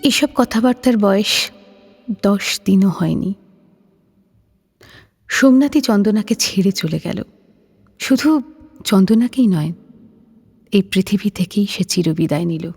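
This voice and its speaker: Slow, husky woman storyteller. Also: mid-range voice, darkish tone, big-room sound. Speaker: narrator and presenter. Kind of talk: dramatic scripted story narration.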